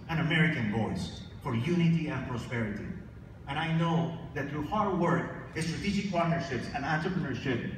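A man giving a formal, emphatic speech from a podium on a convention stage, leading calls for applause. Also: fairly high pitch. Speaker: chamber of commerce leader presenting awards. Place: large convention ballroom stage. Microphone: podium microphone, filmed from far in the audience. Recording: echoey hall, distant mic, audience noise. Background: audience ambience.

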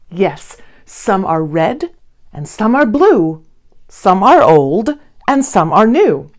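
A woman in her thirties reading a rhyming children's picture book aloud in an expressive, theatrical manner with deliberate phrasing. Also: mid-range voice. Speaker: children's storybook reader. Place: small indoor studio with blue backdrop.

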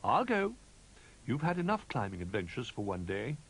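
A man's voice: mid-range voice, huge pitch swings, moderate pace, pitched above the performer's own voice, low-quality recording. A man voicing a kindly, motherly female owl in a children's storybook, fussing with concern. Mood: caring.

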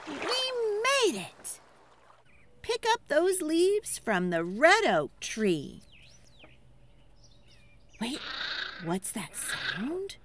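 A woman reads a picture book aloud to children as a storyteller. Her voice sits fairly high and swoops in a very lively way. She takes the rhythmic lines at a slow pace, theatrically, inviting listeners to join in. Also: noisy background.

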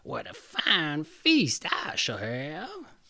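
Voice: mid-range voice, wildly swooping pitch, very slow, creaky, pushed and bright, dry recording, exaggerated voice. A reader voicing a cruel, greedy old female witch in a read-aloud fairy tale, with a menacing villain's manner.

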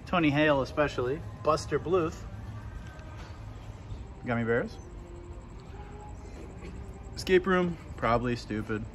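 A man's voice: a little high, slow pace, long pauses, faint music behind. A man, vlogging casually while walking through a mall and making blunt, sarcastic remarks. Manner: sarcastic, blunt, laid-back quips. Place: indoor shopping mall. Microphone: handheld camera at arm's length. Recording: noisy mall ambience.

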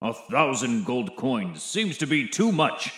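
Man acting a scheming older storybook mayor, pompous, dismissive, very slow, animated intonation. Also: mid-range voice. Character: stingy, scheming older male town mayor.

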